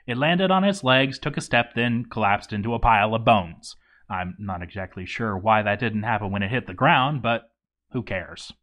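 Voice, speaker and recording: Animated, sarcastic man narrating. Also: medium pitch. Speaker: narrator of a game story read-through. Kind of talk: comedic scripted narration of a game log.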